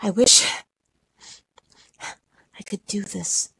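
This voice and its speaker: A woman performing a monologue as an anxious, pregnant 29-year-old in a clinic waiting room, nervous and chatty, swinging between high outbursts and whispers. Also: steady tone, extremely slow, gravelly, bright, forceful tone, husky, no room sound, heavily acted.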